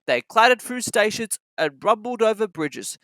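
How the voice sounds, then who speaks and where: A children's storybook narrator with a bright, forceful voice and very lively intonation. The reading is scripted and sounds close and dry. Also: mid-range voice, moderate pace, no room sound.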